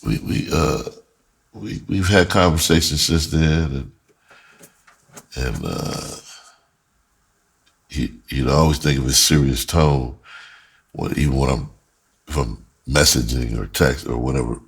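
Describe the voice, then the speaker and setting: A middle-aged man with an American accent and a very deep voice that has a husky edge. He talks casually and candidly, like someone telling his own story. His delivery rambles and is full of slang. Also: a little slow, long pauses. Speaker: storyteller, writer and music producer. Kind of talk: casual, explicit personal storytelling.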